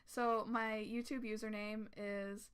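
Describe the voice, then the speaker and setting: A young woman from Canada talks in a casual chat with friends. She speaks at an ordinary pitch and sounds hesitant, often pausing on um before she goes on. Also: level tone, fairly bright tone, smooth. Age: young adult. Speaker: cover singer.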